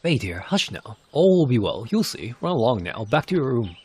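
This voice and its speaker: A man voicing a weary, solemn old village elder who is gentle with children, speaking in grave, archaic phrasing as in fantasy game cutscenes. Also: mid-range voice, big pitch swings, moderate pace. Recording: clear background noise.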